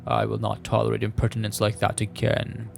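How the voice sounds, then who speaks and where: A man voicing a menacing female forest monster in a visual novel, haughty and contemptuous, with a breathy, guttural voice and slow, formal speech. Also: on the low side, monotone, soft background music. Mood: scornful.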